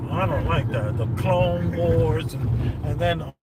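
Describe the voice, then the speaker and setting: Animated man chatting in a noisy car. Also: fairly high pitch, slow pace. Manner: animated, joking, emphatic. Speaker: host. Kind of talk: casual chat while driving. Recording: loud road noise from car. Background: loud traffic and car noise.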